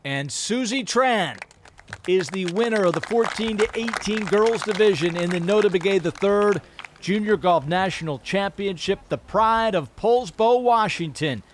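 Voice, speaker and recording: A man doing play-by-play commentary for a televised golf broadcast, measured and steady. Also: sits high, a little hiss or hum. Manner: measured, builds up excitement when announcing a winner.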